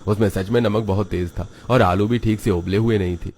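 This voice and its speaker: A man's voice: on the low side, fairly even intonation, brisk, darkish tone. A man narrating a children's cartoon story from a script.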